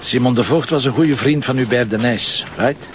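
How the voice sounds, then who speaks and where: A man's voice: medium pitch, normal speed, slight background noise, close to an everyday voice. An actor playing a blunt, wry male police commissioner in a crime drama, with a Flemish accent and a terse, dry delivery. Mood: explaining. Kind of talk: naturalistic crime drama acting.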